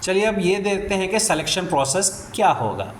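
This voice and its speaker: A man's voice: high-pitched, big pitch swings, a little fast. A man hosting an explainer for viewers in an instructional manner, slipping into English phrases. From India. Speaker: job news channel host. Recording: echoey room, faint background noise.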